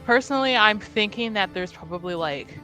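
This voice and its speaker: A woman's voice: medium pitch, expressive, brisk, pushed and bright, soft background music. A woman narrating her character in a tabletop roleplaying game, hesitant and thinking aloud with many fillers. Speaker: player.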